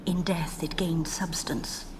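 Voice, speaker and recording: An actress voicing a solemn, otherworldly spirit servant in a video game, speaking formally and portentously. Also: female voice, low voice, steady tone, slow. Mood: solemn warning. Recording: noisy ambient sound bed.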